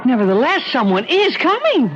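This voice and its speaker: An actress playing a practical, brisk, bossy woman motorist in an old-time radio drama. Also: sits high, wildly swooping pitch. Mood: startled. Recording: muffled, very noisy old radio recording.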